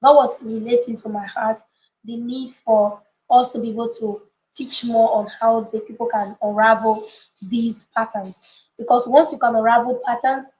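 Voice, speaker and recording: A woman with a fairly high voice teaches like a motivational coach on a live session. She is emphatic and chatty, repeating her points and checking that listeners follow. The room echoes clearly around her voice, and the sound is slightly dull. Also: darkish tone. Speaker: coach and book club leader hosting a live session. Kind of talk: motivational live teaching with informal chat to viewers.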